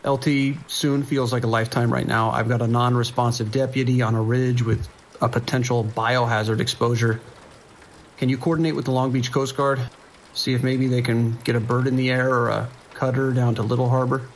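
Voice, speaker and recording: Police dispatcher male actor, audio drama. Creaky mid-range voice, procedural, tense and clipped, light rain noise. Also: mid-range voice, flat delivery, brisk, natural voice. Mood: tense, worried. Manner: clipped, procedural radio speech.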